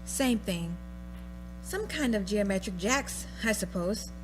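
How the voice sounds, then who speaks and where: Breezy housewife, radio play, music behind. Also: female voice, medium pitch, animated, takes it slowly, poor audio. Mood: breezy, amused. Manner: breezy, gossipy, unconcerned. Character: chatty housewife friend on a telephone call.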